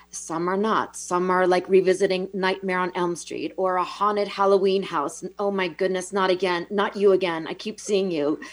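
Reflective woman co-hosting a motivational talk. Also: medium pitch. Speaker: co-host.

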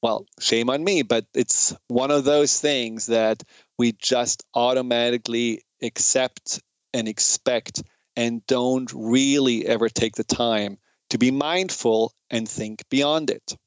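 A man's voice: mid-range voice, steady tone, slow, clear, resonant tone, no room sound. A man from Germany with a German accent speaks in an earnest, reflective way, explaining ideas in an informal educational monologue.

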